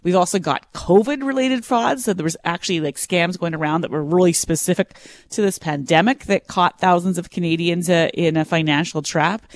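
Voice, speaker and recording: A man's voice: high voice, fairly quick, slight background noise. A man co-hosting a radio show, explaining things in an earnest way. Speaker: radio co-host. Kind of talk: casual radio chat. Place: radio studio.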